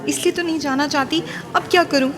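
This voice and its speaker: A woman's voice: sits high, fairly even intonation, brisk, a bit bright. A woman playing a stubborn, defiant young college girl in an audio drama, mixing Hindi and English in a dramatic manner. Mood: annoyed, defiant. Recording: music behind.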